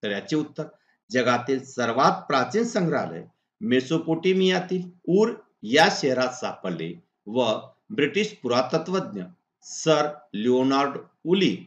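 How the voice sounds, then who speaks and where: Male teacher, deliberate instructional read of exam answers, point by point; roomy, slightly muffled lo-fi recording. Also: mid-range voice, a bit bright. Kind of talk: exam answer walkthrough, reading model answers aloud. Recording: echoey room, dull lo-fi sound.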